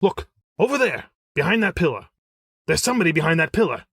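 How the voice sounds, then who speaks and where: An actor playing an eager, excitable Roman senator with slangy, earnest delivery in old-time radio comedy style. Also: male voice, a little high, fast, breathy edge, no echo, studio quality, heavily acted. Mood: urgent.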